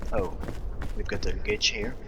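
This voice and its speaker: A young man with a French accent, casually commenting on his own gameplay and thinking aloud, with frequent pauses and fillers. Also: high-pitched, huge pitch swings, gravelly, very noisy. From France.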